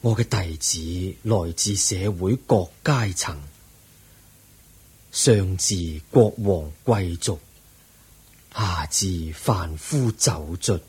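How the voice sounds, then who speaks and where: Aged Buddha, deep, slow and husky. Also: male voice, darkish tone, full character voice. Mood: calm, solemn. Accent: Hong Kong Cantonese. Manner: solemn, measured teaching delivery. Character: aged Buddha, serene, patient teacher nearing death. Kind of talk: Buddhist radio drama acting.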